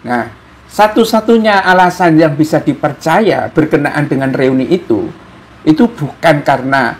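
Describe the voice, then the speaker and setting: Higher-voiced man, mocking political commentator. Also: normal speed. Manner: mocking, with rhetorical questions and tag questions. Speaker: commentator hosting an opinion show. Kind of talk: informal political commentary to viewers.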